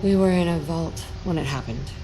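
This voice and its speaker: An actress playing a grieving, determined mother in a video game, speaking in a halting, earnest and grave manner. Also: deep voice, background noise. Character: grieving, determined mother searching for her kidnapped baby. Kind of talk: video game voice acting, dramatic dialogue. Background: background music.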